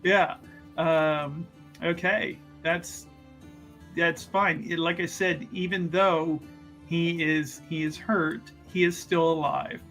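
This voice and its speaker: Slow-talking, higher-pitched male tabletop player. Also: fairly even intonation, a bit bright, soft background music. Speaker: player in a tabletop roleplaying game. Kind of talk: casual game chat, describing own character.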